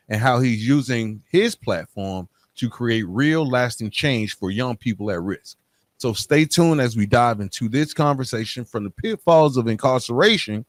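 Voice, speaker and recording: Male podcast host, mid-pitched, scripted intros and casual questions, dry recording. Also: no echo.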